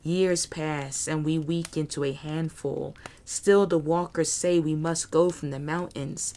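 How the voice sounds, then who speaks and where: A low, rasping reptilian creature, as a woman puts him on, spitting out slow, broken phrases with whispered hisses. He sounds bitter and vengeful, a menacing figure from an audiobook fantasy. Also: fairly even intonation, dark tone. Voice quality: rasping, hissing. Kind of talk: audiobook character voice.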